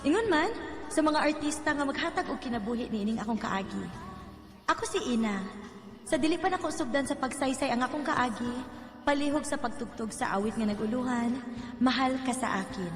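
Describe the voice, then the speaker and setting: High-voiced, plaintive young Filipina. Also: female voice, animated, brisk, soft background music. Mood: earnest. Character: heartbroken young wedding planner, stubborn but affectionate daughter. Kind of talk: Philippine radio drama acting.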